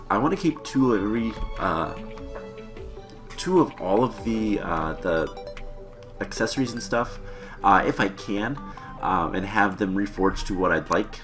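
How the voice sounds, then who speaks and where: Low-voiced man, stuffy, game music behind. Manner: relaxed and rambling, with many "uh" fillers. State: slightly stuffy from a cold. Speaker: gaming channel host. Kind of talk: casual let's-play commentary.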